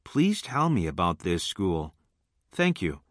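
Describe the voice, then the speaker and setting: A friendly male student played by a man speaks scripted textbook English with careful enunciation. His voice is slightly low and swings expressively in pitch. The recording is very dry. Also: very animated, slow, no room sound, full character voice. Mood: friendly, polite. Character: friendly male junior high school student. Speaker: listening test voice actor. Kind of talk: scripted English lesson dialogue.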